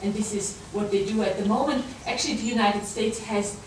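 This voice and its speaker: A woman's voice: mid-range voice, steady tone, a little fast. A woman with a German accent giving an academic talk to students, often hesitating and restarting her sentences. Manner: hesitant, many fillers and restarts. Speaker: guest lecturer. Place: large lecture room. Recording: echoey lecture hall from far off, background noise.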